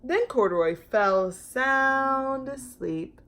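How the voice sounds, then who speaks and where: A woman narrates a picture book for young children in a fairly high voice that swoops up and down. She takes her time, stretching words and acting out the little sound effects as a storytime reader. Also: lively intonation, drawn-out words, a bit bright. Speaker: storytime host reading aloud.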